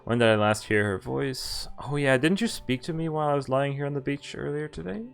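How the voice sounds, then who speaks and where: A man's voice: medium pitch, brisk, vocal fry. Man reading a visual novel aloud. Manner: reads the story aloud, then breaks off with laughing asides. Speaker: streamer playing a visual novel. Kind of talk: game playthrough, reading lines aloud with commentary.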